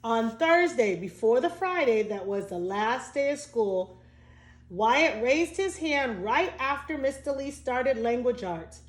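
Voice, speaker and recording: A woman teacher reading a storybook aloud to children, expressive and speaking directly to young listeners. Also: a little high, measured pace. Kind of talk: storybook read-aloud with asides. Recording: echoey room.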